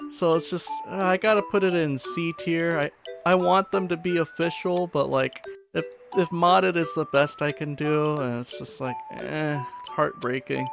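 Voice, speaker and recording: A man hosting a gaming video, giving casual, informal commentary in an animated, conversational way. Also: on the high side, gravelly. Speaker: host of a gaming video channel.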